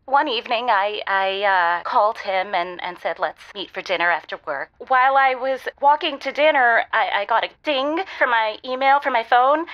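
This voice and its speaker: Comedy caller: higher-pitched, guilt-ridden, flustered and hesitant, lo-fi audio. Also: female voice, a bit bright. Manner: hesitant, stammering, halting. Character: guilt-ridden, embarrassed, exasperated woman stuck in a relationship. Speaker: caller to an advice show. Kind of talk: improvised comedic call-in character acting.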